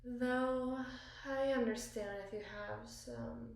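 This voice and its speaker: A woman playing a battle-scarred, commanding evil queen in an intimate ASMR roleplay, speaking formally and wistfully with deliberate, theatrical pauses. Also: fairly high pitch, darkish tone. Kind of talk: ASMR roleplay, slow dramatic monologue. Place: small bathroom, indoors. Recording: echoey tiled bathroom.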